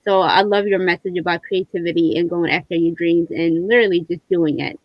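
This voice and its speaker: A woman's voice: on the low side, steady tone, fairly quick, no echo, dull audio. Enthusiastic woman host, close dry sound. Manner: enthusiastic, appreciative. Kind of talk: casual livestream hosting.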